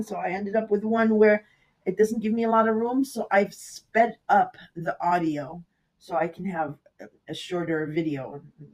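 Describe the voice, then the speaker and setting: An astrologer with a raspy, hoarse voice from a cold, talking through a horoscope in a loose, rambling way, with the audio sped up. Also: medium pitch, poor audio. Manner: rambling, talks loosely with asides. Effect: audio sped up in editing. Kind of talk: casual horoscope reading.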